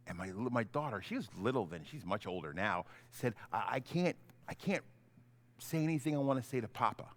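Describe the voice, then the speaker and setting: A middle-aged man, a pastor, giving an informal, halting children's message in simple, conversational words. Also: mid-range voice, expressive. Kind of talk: gentle children's sermon, informal storytelling.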